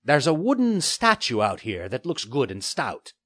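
A man's voice: huge pitch swings, moderate pace, a bit bright, no echo, studio quality. A man doing a sneering bulky pirate. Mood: mocking. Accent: old-style pirate dialect. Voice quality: sneering, growling. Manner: jumps between high mocking lilts and low growls. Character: bulky scheming male pirate sailor, mocking and menacing.

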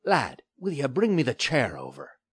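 A man's voice: wildly swooping pitch, unhurried, breathy edge, no room sound, heavily acted. A man voicing a bulky, scheming male pirate with a growling, sneering pirate dialect, in a storybook reading. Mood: mocking. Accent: old-style pirate dialect. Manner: jumps between high mocking lilts and low growls.

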